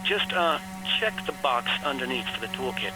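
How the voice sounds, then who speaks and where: A man's voice: on the high side, expressive, moderate pace, over music, natural voice, rough recording. An actor playing a joking male soldier who teases his teammate in a video game. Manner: joking, teasing. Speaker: game character, deminer.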